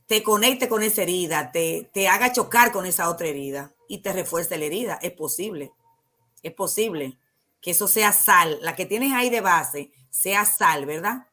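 A woman with a Dominican accent, a guest counselor on a talk show, explaining ideas in a calm, teacherly way and answering her own questions. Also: fairly low pitch, normal speed.

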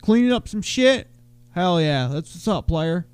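Young dude w a stuffy nose, crude bro banter, bit high voice, dry close mic. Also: male voice, unhurried, smooth, no echo. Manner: loud, excitable, slangy. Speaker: podcast co-host.

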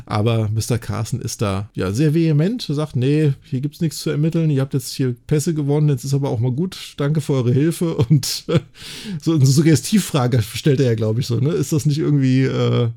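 A man's voice: mid-range voice, moderate pace, gravelly, warm tone. A man recapping and discussing a story casually as a podcast co-host, chatty and enthusiastic, often adding little tag questions for agreement.

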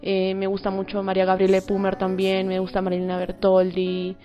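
A woman's voice: medium pitch, monotone, fairly quick, highs cut off, soft background music. A woman with a Peruvian accent speaking as an interview guest, a singer-songwriter talking casually. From Peru.

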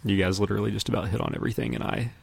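A man's voice: on the low side, racing, vocal fry, slightly rough. A man co-hosting a podcast, talking casually about pop culture. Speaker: podcast co-host.

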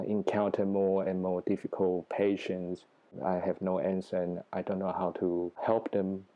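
Casting note: Taiwanese-accented male researcher, forties, low mellow voice, thoughtful and plain. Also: poor audio. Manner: thoughtful, plain-spoken, with small grammar slips. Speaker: guest researcher. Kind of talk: interview answers.

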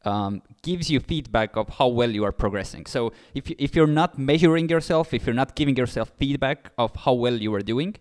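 A man's voice: mid-range voice, a little fast, few pauses, a little mellow. A young man from Estonia, founder of a startup and speaking as an English speaker, explaining ideas eagerly with many fillers and restarts. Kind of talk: interview guest.

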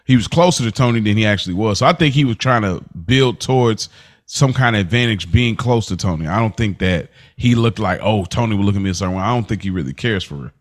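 A man's voice: lowish voice, expressive, quick pace. A man hosting a podcast, chatting casually about a TV episode in a thoughtful, roundabout way. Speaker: podcast host. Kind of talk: casual TV recap chat.

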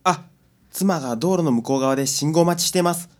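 A man's voice: on the high side, fairly quick, close to an everyday voice. A man playing an anxious, timid newlywed client in an amateur radio drama, speaking politely and hesitantly. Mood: uneasy.

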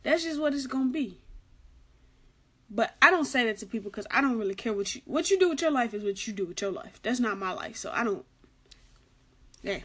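A young woman gives casual advice in a chatty vlog. She is soft-spoken, has a light, girlish voice and keeps repeating her warnings. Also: a little high, lively intonation, brisk, long pauses, slightly rough. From Arkansas, United States. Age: late teens. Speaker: vlogger giving school advice.

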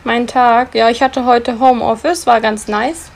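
A woman in her twenties from the Munich area, co-hosting a podcast over a video call, relaxed and giggly, often chiming in with short remarks. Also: a little high, a little slow, creaky. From Munich area, Germany. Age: 20s. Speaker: podcast co-host. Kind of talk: casual chat.

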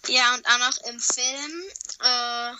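A hoarse woman talking as a podcast guest over the phone, hesitant with many fillers. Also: on the high side, steady tone, slow, pushed and bright, slight background noise, rough recording. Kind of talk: casual chat. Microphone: phone call.

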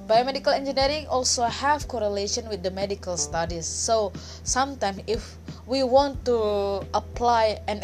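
A woman's voice: medium pitch, a little mellow. A young woman from Indonesia with an Indonesian accent, hosting a solo podcast in English and often stopping to restart or correct herself. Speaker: podcast host. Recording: music playing underneath.

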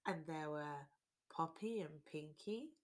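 A woman reading a children's storybook aloud in an expressive storytelling style. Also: deep voice, takes it slowly. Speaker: storybook reader.

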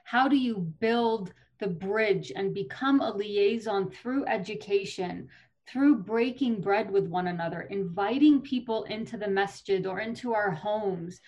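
An earnest woman in her thirties, speaking as a podcast guest from Colorado. Her pitch sits at a middle level. She answers at length, articulate but with small fillers and restarts. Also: unhurried, darkish tone, muffled recording. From Colorado, USA. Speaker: state legislator, guest.

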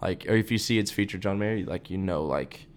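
A man's voice: sits low, fairly quick. A young man co-hosting a podcast, chatting casually about music in a rambling, laid-back way with lots of fillers. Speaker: podcast co-host. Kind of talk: casual chat.

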